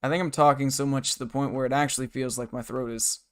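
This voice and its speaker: A hoarse man live-streaming a game from his bedroom desk, rambling and muttering to himself and his chat. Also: medium pitch, steady tone, fast talker, fairly bright tone. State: hoarse, scratchy throat.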